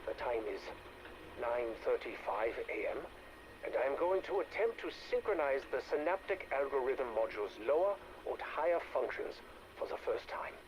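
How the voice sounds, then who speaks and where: An actor playing a calm male scientist who logs his work in a measured, clinical way and speaks gently to his creation. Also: mid-range voice, background noise, natural voice.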